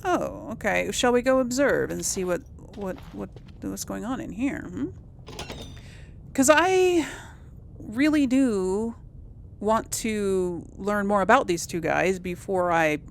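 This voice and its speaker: A woman's voice: mid-range voice, takes it slowly, creaky, slight background noise. A woman doing casual, musing game commentary, thinking aloud as she plays and role-plays. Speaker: gamer host of a role-play let's play.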